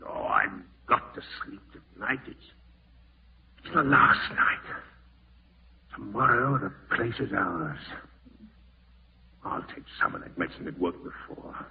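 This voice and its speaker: Bitter, gravelly man in old radio horror. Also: on the low side, animated, a little slow, pauses often. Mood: sleepless, desperate. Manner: grumbling, scornful, overwrought. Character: bitter, irritable man resenting his wife and his lot. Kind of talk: 1940s radio horror acting. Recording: noisy, tinny old radio broadcast recording.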